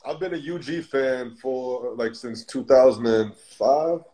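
A man's voice: mid-range voice, measured pace, over a noisy crowd, low-quality recording. A man from Montego Bay, Jamaica, teasing and joking with friends in a casual podcast chat. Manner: teasing, playful.

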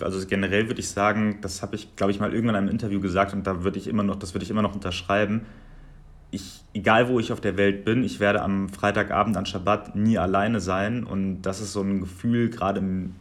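A man in his twenties from Germany, answering interview questions in a measured, articulate way with long listing sentences. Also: lowish voice, steady tone. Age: 20s. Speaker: guest.

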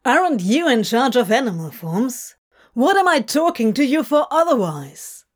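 A woman's voice: on the high side, sing-song, normal speed. Male serpent demon, read by a woman: testy, sarcastic, clipped and emphatic, storybook fantasy. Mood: indignant. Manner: terse, snappy, emphatic. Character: exasperated, impatient male snake demon with sarcastic temper.

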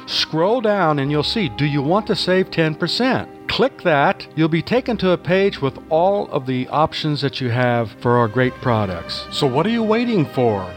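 A man pitching his own products in a promotional ad read.

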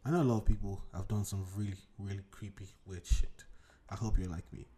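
A young man from Nigeria talks loosely into his podcast mic from a home desk, wandering off on tangents and swearing as he goes. His voice sits a little low, with a mellow, warm tone. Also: steady tone, fairly quick. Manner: rambling and digressive, swears freely. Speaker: podcast host. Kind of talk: casual solo podcast chat. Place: home room at a computer desk.

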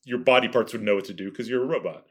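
A man in his thirties with a loud voice, a podcast guest chatting casually and telling animated stories. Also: on the low side, racing, husky. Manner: animated storyteller who laughs between lines.

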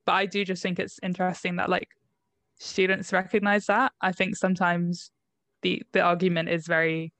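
A woman's voice: on the low side, little pitch movement, normal speed, fairly bright tone, no echo. A woman co-hosting an education podcast, reading scripted links and reflecting thoughtfully on interviews.

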